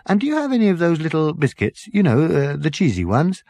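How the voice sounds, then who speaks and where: A man's voice: on the high side, huge pitch swings, fairly quick, mellow, no room sound, voice pushed up. A man voicing a courteous, tentative, puzzled male traveller in a comic science fiction audiobook. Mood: polite, eager. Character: polite, curious male traveller, tactfully puzzled.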